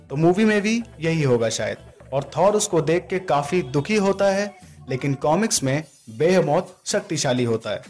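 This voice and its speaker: A man's voice: a little high, a little mellow. A man hosting an informal, opinionated movie breakdown as an explanatory voice-over. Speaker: video host, trailer breakdown narrator. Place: voice-over recording.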